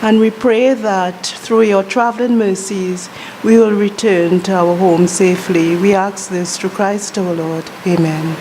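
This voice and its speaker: Female prayer leader, dark mellow tone, measured formal delivery, noticeable room noise. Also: mid-range voice, fairly even intonation, low-quality recording.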